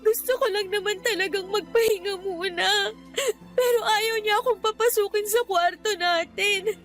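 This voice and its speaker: A woman's voice: very high-pitched, moderate pace, fairly bright tone, faint music behind. An actress playing a young live-in girlfriend in a Filipino radio drama, defiant and aggrieved, arguing back in exasperation. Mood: tearful, aggrieved. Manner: argumentative, exasperated, complaining.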